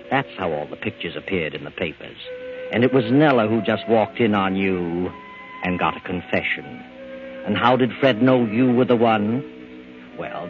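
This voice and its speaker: An actor playing a sinister, sardonic, all-knowing storyteller, gloating in 1940s radio mystery style. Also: male voice, low voice. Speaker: narrator. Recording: phone-like old radio, music behind. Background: music.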